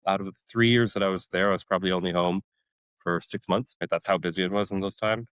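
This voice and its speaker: Deep-voiced man telling lively war stories. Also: quick pace, no room sound. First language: Polish. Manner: animated, laughs often, voices other people in his stories. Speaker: podcast guest, retired Army warrant officer. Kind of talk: casual conversational storytelling.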